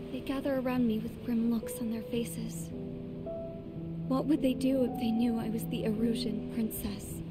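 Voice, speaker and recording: An actress playing a numb, grief-stricken young princess, narrating solemnly and slipping into breathy whispers in a video game drama. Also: female voice, on the high side, natural voice. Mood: wary, shaken. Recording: loud music behind the voice. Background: loud music.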